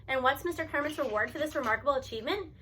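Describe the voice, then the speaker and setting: A woman reading a story aloud in expressive first-person narration, with animated delivery and emphatic stresses. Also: sits high, racing, a little hiss or hum.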